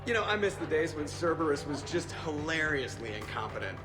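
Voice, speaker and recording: Sarcastic young space pilot, high pitch, mock-serious quips, music behind. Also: male voice, big pitch swings, fairly quick. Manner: snarky, quippy, mock-serious. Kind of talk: scripted game dialogue. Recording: music under the voice.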